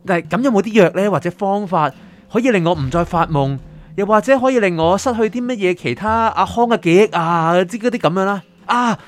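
A man acting a troubled, higher-voiced sleepless patient. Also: lively intonation, fairly quick. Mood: agitated, pleading. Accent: Hong Kong Cantonese. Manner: anxious, pleading, doubtful. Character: troubled, sleepless male writer seeking his doctor's help. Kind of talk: Cantonese radio drama acting. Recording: music underneath.

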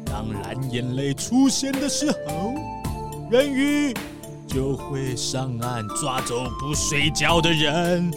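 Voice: mid-range voice, sing-song, slow pace, over music. A performer voicing a boisterous rich seal who owns cruise ships, hammy, laughing often and dropping English words. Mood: spooky.

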